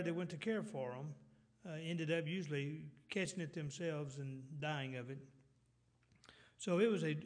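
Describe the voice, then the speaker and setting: A middle-aged man with a Texas accent preaches a sermon in a rambling way, full of restarts and fillers, and clears his throat now and then. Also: on the high side. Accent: Texan.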